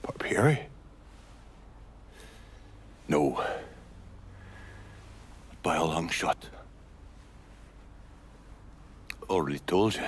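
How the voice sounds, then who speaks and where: Very deep, slow Irish gangster. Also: male voice, slight background noise. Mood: menacing, brooding. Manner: slow, brooding, drawn-out delivery. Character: bitter, foul-mouthed Irish gangster, darkly amused, brooding. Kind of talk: cinematic video game acting. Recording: music behind the voice.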